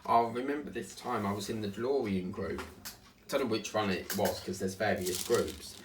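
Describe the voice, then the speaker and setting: A man's voice: fairly low pitch, moderate pace, slight background noise. A man with an Essex accent tinged with South African, chatting in a rambling way about his day as he works at his craft table. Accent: Essex English with a South African tinge. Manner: chatty, digressive, hums and sings to himself. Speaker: crafting channel host. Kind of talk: rambling casual chat while working.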